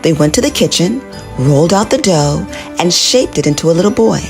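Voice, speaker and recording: Woman reading a holiday kids' story, low-ish voice that swings all over, soft music behind. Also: a little fast. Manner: animated, expressive read-aloud. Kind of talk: scripted children's story narration.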